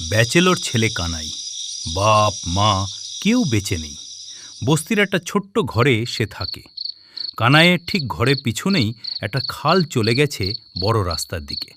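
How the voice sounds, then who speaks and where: A man narrating a scripted horror story in a dramatic, suspenseful, expressive way. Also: fairly low pitch, unhurried, long pauses, background noise. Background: suspense music, cricket sounds.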